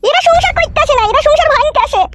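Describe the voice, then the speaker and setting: A woman's voice: very high voice, very fast, a bit bright, slight background noise. A woman voicing a grumbling, scolding middle-aged mother-in-law in a comedy cartoon, with a rural West Bengal accent and an artificially raised voice.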